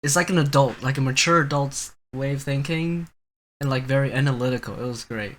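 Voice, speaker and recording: A man with a medium-pitched voice chats informally as a podcast co-host. His delivery is conversational and unscripted, as if among friends. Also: fairly quick, a bit bright. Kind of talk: casual chat about anime.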